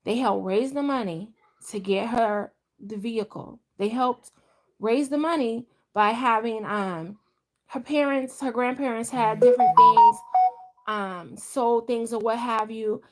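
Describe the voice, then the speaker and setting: Chatty middle-aged woman, rambling. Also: on the high side. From Delaware, US. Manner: rambling, conversational, frequent restarts. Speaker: vlogger. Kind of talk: casual live chat.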